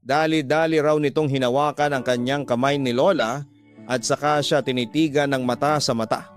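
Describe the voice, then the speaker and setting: A man's voice: medium pitch, fairly even intonation, normal speed. A man giving scripted narration as the storyteller of a radio drama. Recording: music bed under the voice.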